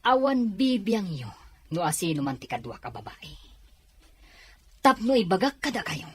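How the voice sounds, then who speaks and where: A woman voicing a defiant, curt young man with a husky voice and an Ilocano accent in an audio drama. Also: mid-range voice, big pitch swings, moderate pace. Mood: defensive, cold. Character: defiant, unrepentant young man rejecting his wife.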